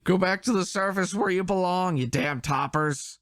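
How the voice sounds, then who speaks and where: A guy doing an angry heckler, high and scornful, slowing on the insults. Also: no echo, clean studio sound. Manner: shouting insults. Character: angry male heckler in a crowd.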